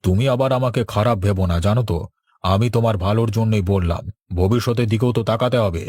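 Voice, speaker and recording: Man playing a husky, manipulative village boss. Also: on the low side, brisk, a little mellow, no echo, clean studio sound, lightly acted. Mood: insistent, scheming. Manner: insistent, persuasive. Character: manipulative village elder, insistent yet falsely caring. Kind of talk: Bengali cartoon dialogue.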